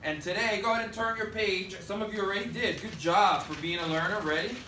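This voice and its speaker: A man's voice: high voice, quick pace, bright, forceful tone. A man, a third grade teacher, giving classroom instruction in a projected, directive way with short prompts and call-and-response. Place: school classroom, indoors. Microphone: distant camera at back of room. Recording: echoey classroom, distant mic, faint noise.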